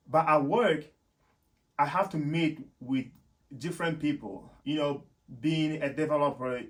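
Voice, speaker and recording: A man's voice: mid-range voice, fairly bright tone. Man, casual vlogger. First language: French. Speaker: YouTube host and software developer. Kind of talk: casual explanatory vlog talk to viewers.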